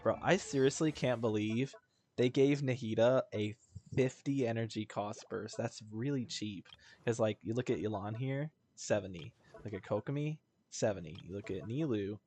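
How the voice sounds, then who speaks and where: Low-voiced man rambling over video game play. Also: moderate pace, a little mellow, a little hiss or hum. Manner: rambling, thinking aloud. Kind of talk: casual gameplay commentary.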